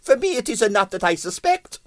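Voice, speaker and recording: A man voicing an excitable, boastful Russian ballet impresario, theatrical in broken English with bits of French. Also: high voice, a little fast, no echo, voice pushed up. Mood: irritable.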